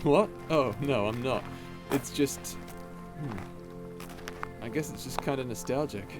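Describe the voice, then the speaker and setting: A man's voice: mid-range voice, a little slow, natural voice. A man playing a cocky, playful space pirate captain in a casual, teasing ASMR roleplay drama. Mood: hesitant, nostalgic. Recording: music under the voice.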